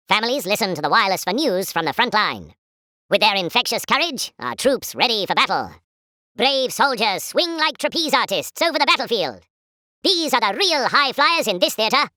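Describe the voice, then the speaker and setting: Casting: a jolly British 1940s newsreel announcer for a war game, pompous and patriotic, bright forceful tone, swooping theatrical delivery. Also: female voice, fairly high pitch, dry recording, clean studio sound. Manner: pompous, formal, chipper propaganda delivery.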